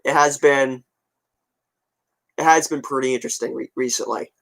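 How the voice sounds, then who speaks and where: A man's voice: mid-range voice, steady tone, moderate pace, gravelly, fairly bright tone. A twenty-year-old man chatting casually to his viewers, rambling and repeating himself in an offhand way. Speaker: video creator updating viewers. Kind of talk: casual chat to viewers, answering comments.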